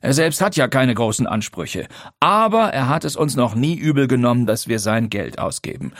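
A man doing a calm, mild-mannered husband. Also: mid-range voice, moderate pace, dry recording, clean studio sound, pitched above the performer's own voice. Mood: earnest. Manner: calm, precise, clearly accented. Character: mild, placid middle-aged husband.

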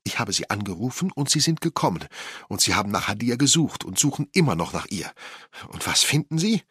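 A man voicing a distraught, furious mother with loud, accusing outbursts in an audiobook. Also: on the low side, big pitch swings, a little fast, breathy edge, no echo, clean studio sound, pitched above the performer's own voice, heavily acted. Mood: desperate, furious.

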